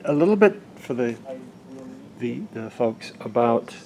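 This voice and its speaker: A man's voice: medium pitch, lively intonation, a little slow. A man interviewing people in a casual, curious way, asking questions with chatty asides. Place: busy studio workspace. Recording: crowd chatter and room noise behind.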